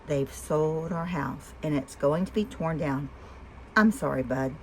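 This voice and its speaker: Woman reading as a gentle, weary storybook dad. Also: low voice, unhurried, slight background noise, rough recording. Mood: worried, sorry. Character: weary, caring father of a young boy.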